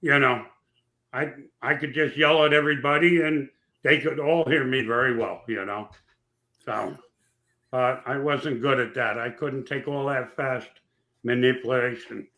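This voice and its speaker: A man with a raspy voice, a podcast guest telling rambling, folksy stories in casual chat. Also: mid-range voice, fairly even intonation, a little slow, sharp tone. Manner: rambling, folksy, with many fillers. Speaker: podcast guest, former track owner.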